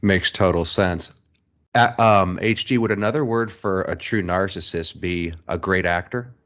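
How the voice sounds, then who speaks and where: A man's voice: deep voice, measured pace, a bit bright. A man hosting a podcast interview, asking questions in a hesitant, apologetic way. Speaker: podcast host. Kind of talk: casual interview talk.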